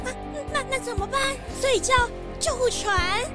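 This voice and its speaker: A woman's voice: extremely high pitch, moderate pace, music in the background. A woman playing a frantic, whiny female cruise passenger in a radio drama. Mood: panicked. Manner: frantic, tearful wailing.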